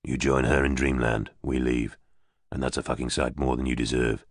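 A man's voice: very deep voice, little pitch movement, moderate pace, dry recording, clean studio sound, pitched below the performer's own voice. A man voicing a tough ex-army psychic in an audiobook, curt and menacing, with occasional swearing. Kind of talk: audiobook character voice.

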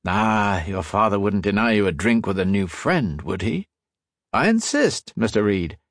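A man's voice: fairly low pitch, sing-song, measured pace, a bit bright, no room sound, studio quality, exaggerated voice. A man voicing a scheming male assassin who poses as a genial, folksy American in an audiobook story. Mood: coaxing. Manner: falsely hearty, folksy.

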